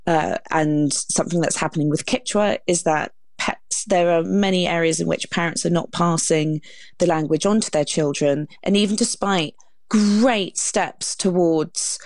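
Woman, lowish voice, author explaining stuff clearly on a panel show, close dry sound. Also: measured pace, a little mellow, no room sound. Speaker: author, guest.

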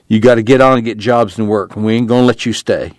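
A man's voice: lowish voice, fairly even intonation, fast talker, no echo, close to an everyday voice. A man voicing a worried, stubbornly kind poor migrant man in an audiobook. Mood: firm, kind.

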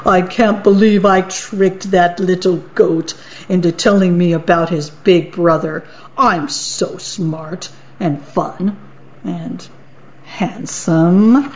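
A woman's voice: fairly low pitch, steady tone, slow, a little mellow. A woman voicing a hungry, boastful male troll who gloats in sing-song rhymes, on a dull lo-fi recording. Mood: smug.

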